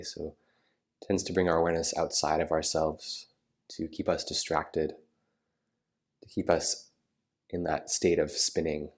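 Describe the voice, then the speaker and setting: A man guiding a meditation in a soothing manner, with unhurried phrasing and gentle pauses. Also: rumbling low. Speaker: yoga nidra meditation guide. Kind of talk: guided meditation.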